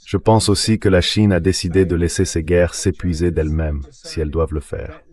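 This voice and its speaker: Deep-voiced male analyst, measured. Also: steady tone, darkish tone. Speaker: interview guest, analyst. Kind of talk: geopolitical commentary, AI-read French translation.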